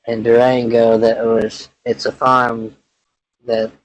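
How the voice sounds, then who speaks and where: A man playing a flat-toned, easygoing Texan rancher. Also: medium pitch, dry recording, close to an everyday voice, rough recording. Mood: easygoing, rambling. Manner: halting, folksy, rambling. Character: laid-back young Texan rancher man, talkative and easygoing. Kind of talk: improvised prank phone call in character.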